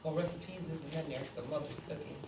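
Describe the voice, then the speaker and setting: A woman's voice: low voice, steady tone, fairly quick, far from the mic. Woman teacher in a noisy, roomy classroom. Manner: instructional, repeats and checks for understanding. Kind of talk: classroom read-aloud lesson, explaining step by step with questions to students. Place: classroom, indoors.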